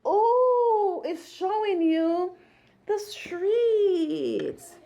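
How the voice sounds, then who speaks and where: A woman's voice: very high voice, expressive, drawn-out words, vocal fry, mellow, lo-fi sound, a little hiss or hum. A woman doing a casual read-along as a mother with a child, in a sing-song way, speaking to the child and to viewers. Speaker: mother reading a picture book with a child.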